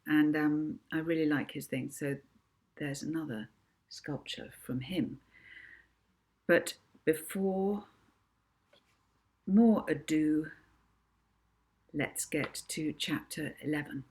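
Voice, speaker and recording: A woman's voice: low-pitched, slow pace, long pauses, a little mellow. A woman author narrating her own children's book, with casual asides to the listener.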